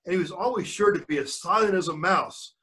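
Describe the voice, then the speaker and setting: Older guy reading a story to kids, voice on the high side, chatty asides, mic kinda far off. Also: bright, forceful tone. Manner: pauses to quiz the listeners. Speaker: grandfather reading to young children.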